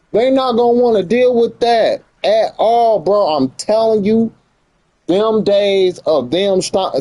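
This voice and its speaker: A man's voice: high-pitched, mellow, no echo. An American man chatting casually on a livestream in slangy speech, repeating phrases for emphasis. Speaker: live streamer. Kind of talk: casual livestream commentary chat.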